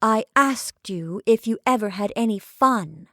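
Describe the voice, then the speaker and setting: A woman's voice: mid-range voice, expressive, unhurried, fairly bright tone, dry recording. A woman voicing a flippant young chorus girl, breezy and teasing with mock-dramatic flair, in a dry, close recording.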